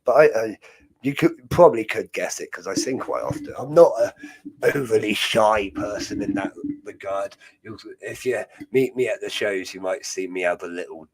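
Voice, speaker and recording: British man, young adult, rambling casual stream chat, fairly low voice. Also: normal speed, low-quality recording. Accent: British English. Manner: rambling, chatty, laughs often. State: chest infection. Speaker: host.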